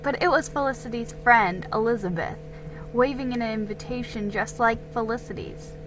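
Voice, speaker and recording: A woman giving an amateur dramatic storybook reading, deliberate and a little halting, with stumbles. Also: fairly high pitch, over music, poor audio.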